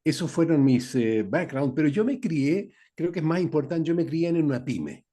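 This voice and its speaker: A man's voice: a little high, expressive, moderate pace, warm tone. An older man with a Chilean accent, a guest in a radio interview, talking in a rambling way with many fillers. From Santiago, Chile. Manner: rambling, with frequent fillers and "¿no es cierto?". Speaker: guest engineer. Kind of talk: conversational interview.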